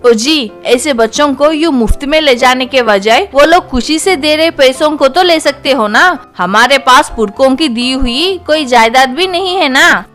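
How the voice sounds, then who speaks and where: A woman's voice: sits high, unhurried, few pauses, fairly bright tone, soft background music. A woman voicing a worried, scolding wife in a story, nagging and pleading. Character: worried, scolding wife of a poor auto driver.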